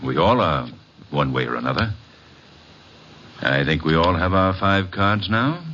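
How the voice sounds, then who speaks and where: An actor playing a suave club owner with formal, precise phrasing, in old-time radio drama style. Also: male voice, sits low, lively intonation, unhurried. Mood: suave, commanding. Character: suave, formal male club owner hosting a card game. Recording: dull, hissy vintage radio recording.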